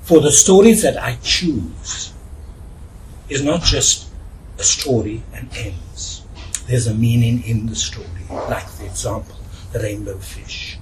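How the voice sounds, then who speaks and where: A man with a South African accent speaking as a children's storyteller in an interview, earnest and halting, repeating words for emphasis. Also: mid-range voice. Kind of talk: reflective interview with animated storytelling. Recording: noisy, distant microphone.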